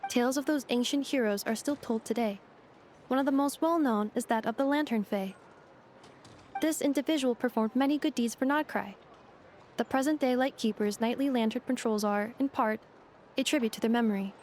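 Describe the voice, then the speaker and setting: A woman voicing a polite female robot guide in a video game, formal, measured and analytical. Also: a little high, darkish tone, a little hiss or hum, lightly acted. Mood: solemn storytelling. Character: polite, precise young female robot guide, literal and composed. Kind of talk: video game character dialogue. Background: music.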